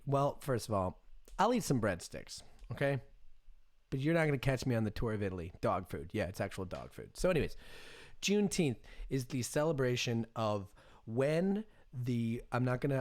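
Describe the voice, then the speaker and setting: A man's voice: medium pitch, darkish tone. Irreverent American man in his thirties, casual rant. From United States. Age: 30s. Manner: irreverent, sarcastic, profanity-laced. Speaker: streamer commenting on the news. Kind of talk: casual off-the-cuff commentary.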